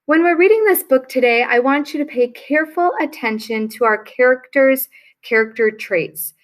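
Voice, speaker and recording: A woman teacher reading a children's picture book aloud to her class, telling it with expression. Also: high-pitched. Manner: slow, expressive storytelling.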